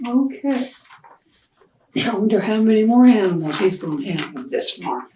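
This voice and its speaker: A woman reading aloud and talking to young children as a storytime host, expressive and prompting them with simple questions. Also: medium pitch, normal speed, slight background noise. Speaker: children's librarian hosting storytime. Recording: roomy echo, distant microphone.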